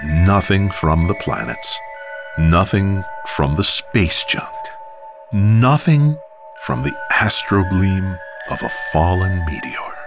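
A solemn storyteller reads a wistful passage in a deep, gravelly voice, as a man performs it. His delivery is slow and rises and falls with feeling. Prominent music plays beneath him. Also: animated, exaggerated voice. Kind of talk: dramatic storytelling.

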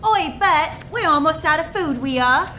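A woman playing a nagging peasant wife, shrill and scolding. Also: lively intonation, normal speed, sharp tone, a little hiss or hum.

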